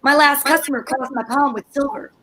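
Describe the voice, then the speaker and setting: A woman playing an excitable wife in an old-time radio comedy, who also jokes as a fortune teller. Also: high-pitched, a little fast. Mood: playful. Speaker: radio play performer.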